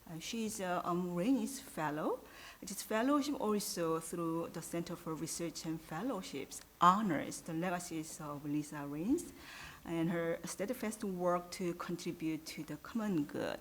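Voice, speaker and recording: A woman's voice: lowish voice, measured pace, slight background noise, rough recording. A woman moderating an academic panel, reading out speaker introductions and stumbling a little. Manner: reads out bios, stumbles a little.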